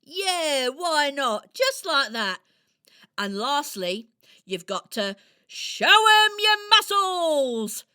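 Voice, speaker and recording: A woman's voice: high-pitched, sing-song, very slow, pushed and bright, voice pushed up, exaggerated voice. A woman playing a small, brave male robin who coaches with bouncy, emphatic encouragement in a children's radio play. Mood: excited coaching.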